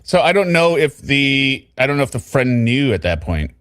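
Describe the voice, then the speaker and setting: A man's voice: medium pitch, sing-song, moderate pace, fairly bright tone, a little hiss or hum. A man hosting a recap show, commenting casually and animatedly and sometimes mimicking the people he quotes. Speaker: host of a trial recap show.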